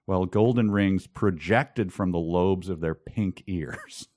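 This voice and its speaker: Man, low voice, podcast co-host, wry mock-serious reading, joking asides, casual book chat. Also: slow pace, a little mellow. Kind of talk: casual comic book discussion.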